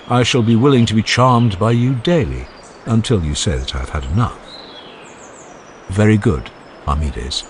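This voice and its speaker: Deep-voiced man reading with lively, swooping pitch. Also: slight background noise. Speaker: audiobook narrator. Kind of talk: dramatized reading of a classical philosophical dialogue. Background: ambient sound effects.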